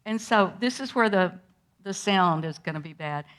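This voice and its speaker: An older woman guest, telling a vivid personal story to schoolchildren in an animated way. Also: medium pitch, moderate pace. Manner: lively, animated storyteller who acts out moments. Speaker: interview guest recalling a historic day.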